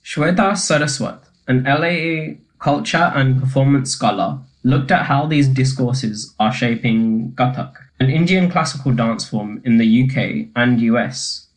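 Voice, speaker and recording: A man reading an academic podcast script aloud. His delivery is measured and steady, like a prepared reading. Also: mid-range voice, poor audio. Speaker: podcast narrator. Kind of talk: scripted narration.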